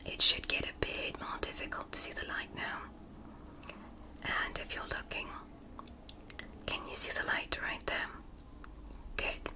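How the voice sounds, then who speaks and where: A woman playing a gentle, fascinated alien doctor in an ASMR medical roleplay, speaking in a breathy, soothing whisper with encouraging, deliberate delivery. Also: natural voice, rough recording. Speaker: ASMR performer.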